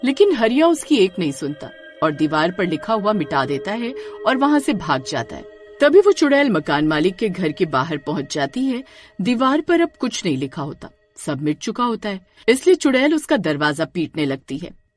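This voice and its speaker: A woman narrating a scripted horror story in a dramatic, expressive way. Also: mid-range voice, normal speed, soft background music.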